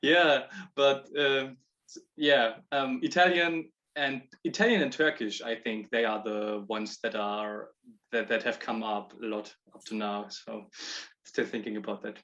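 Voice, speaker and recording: A man presenting his project on a video call, talking in halting bursts with frequent restarts and laughs between points. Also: medium pitch, fairly even intonation, fairly bright tone. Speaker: project proposer. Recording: dull lo-fi call audio.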